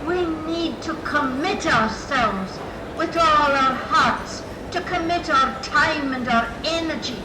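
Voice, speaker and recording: A woman acts out a zealous female group leader in a radio play. She exhorts her followers slowly and fervently, her voice echoing over clearly noisy ambience. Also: high voice. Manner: impassioned, exhorting, deliberate. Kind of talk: rallying speech in an audio drama. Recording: echoey, noisy gathering ambience.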